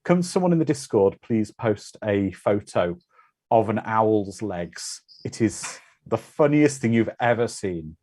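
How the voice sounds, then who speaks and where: A man's voice: on the low side, expressive, a little slow, mellow. A man hosting a watch party, giving casual, conversational commentary on a film.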